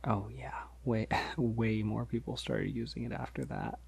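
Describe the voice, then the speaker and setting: A man playing a polite, gentle, shy vampire in an ASMR roleplay, speaking softly and hesitantly to reassure the listener, often near a whisper. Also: on the low side, big pitch swings, vocal fry, slightly rough, heavily acted. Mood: friendly, encouraging. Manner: hesitant, reassuring, halting with soft chuckles.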